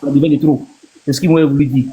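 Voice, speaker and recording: Fast-talking Ivorian man, higher-pitched voice. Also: fairly even intonation, dark tone, highs cut off. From Ivory Coast. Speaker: panel guest on a live stream. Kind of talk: casual opinion talk.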